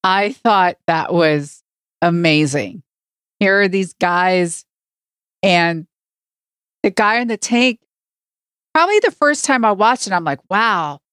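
Female podcast panelist, unhurried and clear-voiced, casual review chat. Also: fairly low pitch, expressive, a bit bright, no echo, clean studio sound.

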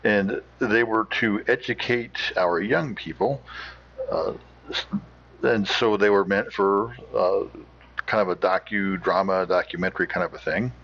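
An American man giving informal explanatory commentary as a host, halting as he searches for words. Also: on the low side, a bit bright. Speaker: host of an old-time radio show. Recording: slightly dull, lo-fi.

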